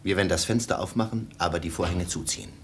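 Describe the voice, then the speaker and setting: An actor playing a family father in a radio play, wary and measured, trying to stay calm. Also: low-pitched, slight background noise, natural voice. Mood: wary, subdued. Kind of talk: German radio play acting.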